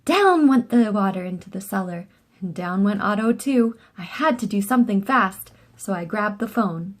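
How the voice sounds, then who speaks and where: A woman reading a picture book aloud to young children as an expressive storyteller. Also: medium pitch, moderate pace.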